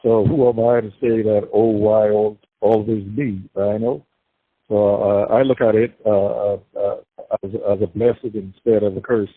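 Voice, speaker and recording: An older man, a prisoner giving an interview, speaking haltingly with many fillers and repeats and a devout tone. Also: on the low side, flat delivery, no echo. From Bahamas. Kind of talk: casual interview talk. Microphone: prison phone call. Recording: tinny phone line.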